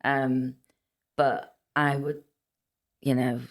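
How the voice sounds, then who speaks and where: A woman's voice: very deep voice, level tone, very slow. A middle-aged woman from Liverpool, raised speaking Welsh first, with a Welsh-tinged accent, talking hesitantly as an interview guest. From Liverpool, England. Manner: soft-spoken, hesitant with many ums. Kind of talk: casual chat.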